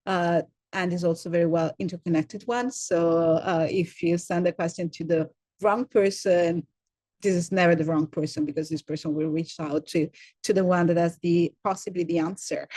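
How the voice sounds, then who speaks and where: A middle-aged woman with an Italian accent speaks in a lowish voice, pausing often on "uh" as she looks for the right words. The sound is dry and close, as over a computer mic on a video call. She addresses listeners as a program director giving a welcome talk. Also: steady tone, mellow, breathy edge, dry recording. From Italy. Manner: frequent "uh" fillers, searching for words.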